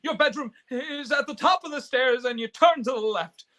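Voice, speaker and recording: A man's voice: very high-pitched, normal speed, bright, forceful tone. A man playing an emotional, stammering family man in a live radio play, speaking with breathless urgency. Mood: urgent, pleading. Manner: stammering, impassioned, breathless pleading. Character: frantic, despairing then overjoyed family man.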